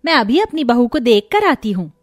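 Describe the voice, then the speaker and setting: A greedy, fawning Indian mother-in-law from a cartoon moral tale, acted out by a woman. Her voice is high and swings widely in pitch as she fusses dramatically. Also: sing-song, normal speed. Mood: anxious, fawning. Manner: dramatic, fussing. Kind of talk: cartoon moral story acting.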